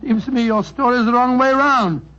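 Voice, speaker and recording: Casting: bluff older British gentleman, high-pitched, incredulous blusterer, vintage radio drama. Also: moderate pace. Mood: amused, skeptical. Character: Doctor Watson, bluff, easily astonished older British gentleman. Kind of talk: 1940s radio drama acting. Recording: muffled old radio recording with noise.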